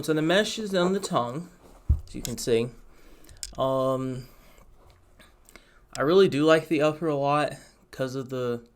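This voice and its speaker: Chatty young man with a gravelly voice. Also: mid-range voice, fairly bright tone. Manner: chatty, rambling, many ums and asides. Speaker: sneaker vlogger. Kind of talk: casual product review to camera.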